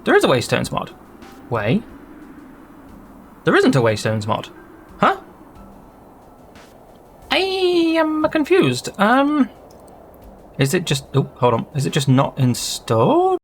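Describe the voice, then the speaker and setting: A man's voice: fairly high pitch, huge pitch swings, slow, pauses often, slight background noise. Rambling man narrating gameplay. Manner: rambling, thinking aloud, easily sidetracked. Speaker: gaming channel host. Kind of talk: casual gameplay commentary.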